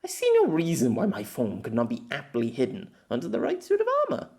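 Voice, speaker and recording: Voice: medium pitch, wildly swooping pitch, a little fast, dark tone. A performer voicing a proud young warrior mage girl who speaks formally and insistently in an audiobook story. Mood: indignant.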